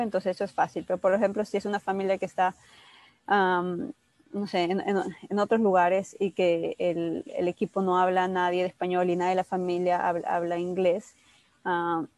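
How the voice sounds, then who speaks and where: A woman doctor answering patients' questions on a video call, speaking haltingly with many restarts. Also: medium pitch, a little mellow, no echo. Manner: halting, many restarts, switches between English and Spanish. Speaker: doctor at a cystic fibrosis center.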